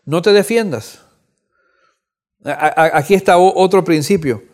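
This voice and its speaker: A man in his fifties teaches a Bible lesson in a somewhat high voice. He speaks earnestly, repeating words as he thinks and climbing in pitch when he stresses a point. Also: a little slow, darkish tone. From Cuba. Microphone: audio recording.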